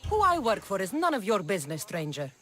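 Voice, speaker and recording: A woman's voice: medium pitch, big pitch swings, fast, fairly bright tone. An actress playing a tough, wry female Greek mercenary in a video game, dry and guarded in manner. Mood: curt, suspicious. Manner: dry, guarded, matter-of-fact. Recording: background music under the voice.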